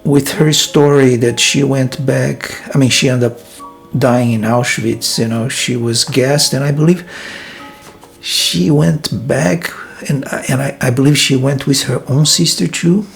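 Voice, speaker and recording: Man for a reflective vlog, gravelly, unhurried delivery, soft music underneath. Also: medium pitch, fairly even intonation. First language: Portuguese. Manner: thoughtful, hesitant, many pauses and fillers. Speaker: filmmaker and vlog host. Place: his room in a monastery.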